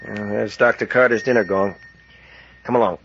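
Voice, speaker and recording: An actor playing a steady, wary expedition captain in a 1940s radio adventure, terse and matter-of-fact. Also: male voice, lowish voice, moderate pace, slight background noise. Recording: muffled vintage 1940s radio recording.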